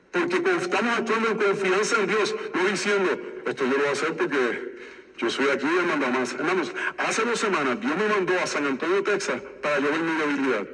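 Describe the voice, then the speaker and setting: A man's voice: a little high, sharp tone. A man preaching a sermon as pastor of a church, with a slight lisp and an emphatic, repetitive way of exhorting the congregation. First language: Spanish. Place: church sanctuary. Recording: echoey hall, voice from far off.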